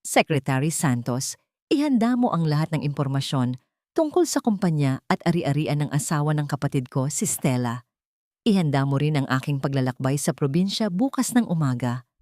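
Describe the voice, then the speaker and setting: A woman voicing a brooding, vengeful billionaire man in an audio story, cold, controlled and deliberate. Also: low-pitched, lively intonation, a little mellow, no echo, clean studio sound. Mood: cold, commanding.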